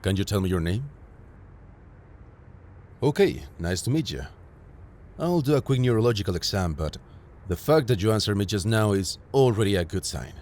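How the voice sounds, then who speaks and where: A man playing a calm, caring field medic who reassures a patient in an intimate audio roleplay. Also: lowish voice, normal speed, pauses often, lightly acted. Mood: calm, focused. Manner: gentle, reassuring, matter-of-fact, addressing a patient directly.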